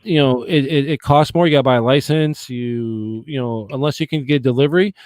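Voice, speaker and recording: A man in his forties from the Boston area talks in a loose, rambling way, peppering his talk with swearing and "you know what I mean?". His voice sits at a middling pitch and is recorded close and dry, with little room sound. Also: brisk, a little mellow, no room sound. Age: 40s. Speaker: podcast guest. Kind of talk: casual chat.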